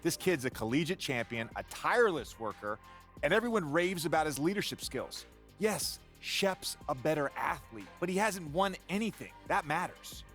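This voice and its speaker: An actor playing an earnest, measured male sports TV pundit who stammers when flustered. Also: on the high side, sing-song, a bit bright, faint music behind. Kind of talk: video game cutscene acting.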